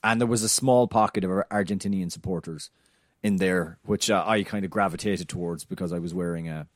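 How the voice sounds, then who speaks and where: A man with a fairly low voice and a non-American English accent chats casually on a soccer podcast, full of fillers and restarts. The sound is dry and close, with no room echo. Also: brisk. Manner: chatty storyteller, frequent fillers and restarts. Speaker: podcast co-host.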